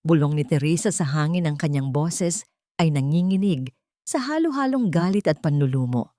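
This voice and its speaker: A woman narrates a dramatic audio story. Her voice sits on the low side for a woman, and she reads from a script as a storyteller. The recording is very dry, with no room sound. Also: expressive, normal speed, warm tone, no room sound.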